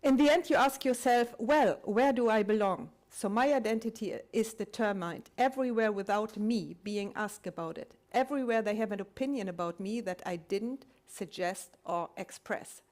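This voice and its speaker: Woman speaker, mid-pitched, thoughtful, halting delivery with restarts, poses rhetorical questions to the room. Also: a little mellow. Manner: reflective, posing rhetorical questions. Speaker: conference speaker. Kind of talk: public talk sharing collected testimonies.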